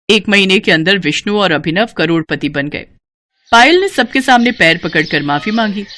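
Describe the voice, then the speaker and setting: A woman narrating a scripted moral story as a storyteller, in an expressive manner. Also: medium pitch, slight background noise.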